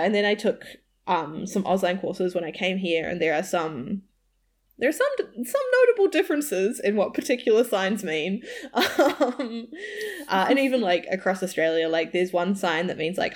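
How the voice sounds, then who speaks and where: A young woman, co-hosting a casual podcast, chatty with lots of fillers and laughs. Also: lowish voice. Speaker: podcast co-host.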